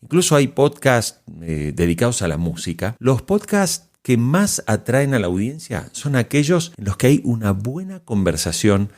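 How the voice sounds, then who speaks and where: A man's voice: mid-range voice, big pitch swings, moderate pace, few pauses, darkish tone. A man hosting a podcast, delivering prepared commentary to listeners. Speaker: podcast host.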